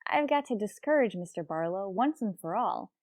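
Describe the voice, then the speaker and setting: An actress playing a wry, lovelorn schoolteacher with deadpan, sarcastic wit in an old-time radio comedy. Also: female voice, mid-range voice, normal speed, no room sound, studio quality, close to an everyday voice. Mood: dry, wry.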